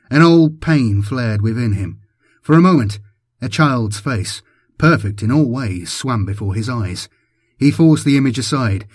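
A man's voice: medium pitch, husky, no echo, studio quality. A man giving a dramatic scripted narration of an audiobook as its narrator.

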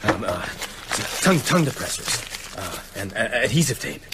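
An actor playing an earnest, nervy doctor in a radio drama, speaking hesitantly and urgently. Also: male voice, fairly low pitch, big pitch swings, normal speed, very noisy. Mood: nervous, urgent. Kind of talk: naturalistic radio drama acting.

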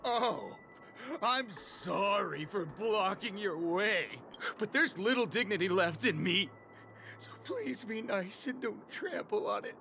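High, melodramatic washed-up guard. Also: male voice, animated, vocal fry, pushed and bright, slight background noise, heavily acted. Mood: pathetic, self-pitying. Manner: melodramatic, drawn-out delivery. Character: washed-up male security guard, moods swinging from glum to manic. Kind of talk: theatrical video game character acting. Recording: game music under the voice.

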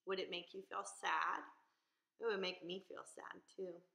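A woman teacher reading a picture book aloud expressively, pausing to ask young listeners questions and explain simply. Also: fairly low pitch, steady tone, creaky, pushed and bright. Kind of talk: expressive children's read-aloud. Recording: echoey room.

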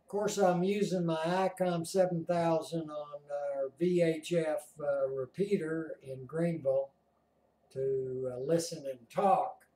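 Older American male hobbyist host, unhurried and halting, recorded a little distant in a roomy small den. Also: a little high, steady tone, mellow. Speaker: amateur radio operator, video host. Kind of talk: casual explanatory chat. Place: small home radio room, indoors.

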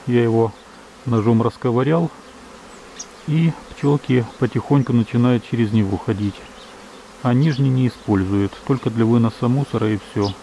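A man from Ukraine, a beekeeper, calmly narrating outdoors at his hives, reading out times and temperatures in a measured, matter-of-fact way. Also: mid-range voice, a little hiss or hum, rough recording. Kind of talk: calm narration of apiary footage. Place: outdoors at an apiary beside hives. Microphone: camera's built-in mic. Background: buzzing bees.

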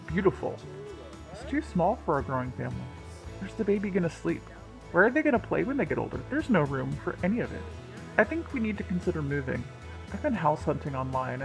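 A reader voicing an earnest, gentle young husband and father-to-be in a storybook tale, speaking plainly and sincerely. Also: mid-range voice, gravelly, roomy sound, rough recording. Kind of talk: reading a story's dialogue and letter.

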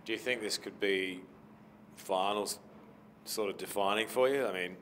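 A man's voice: lowish voice, moderate pace, a bit bright, a little hiss or hum. A man, a reporter asking casual questions at a press conference.

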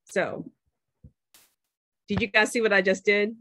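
A woman's voice: medium pitch, level tone, unhurried, a bit bright, no echo. Woman, professor, casual teaching talk, dry close sound. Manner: conversational, checks in with students. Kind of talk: screen-share class demo.

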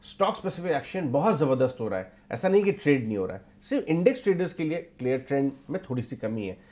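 A man's voice: medium pitch, sing-song, fast talker, a little mellow. A middle-aged man anchoring live market commentary from a TV studio on a lapel mic, explaining and repeating key points for emphasis. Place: TV news studio.

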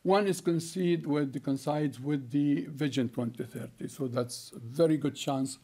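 Older man giving a halting keynote. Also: fairly high pitch, warm tone, breathy edge. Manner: halting, many fillers and restarts. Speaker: keynote speaker. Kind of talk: conference keynote talk. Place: conference hall stage at a lectern. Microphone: lectern microphone.

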